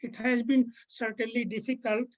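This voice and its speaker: A seventy-six-year-old woman giving measured personal answers in an interview. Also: a little high, little pitch movement, darkish tone, muffled recording.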